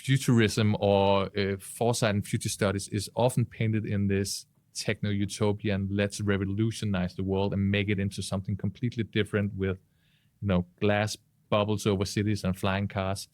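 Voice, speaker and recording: A man speaking conversationally on a podcast panel, with hesitant, deliberate phrasing. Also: on the low side, little pitch movement, darkish tone, no room sound.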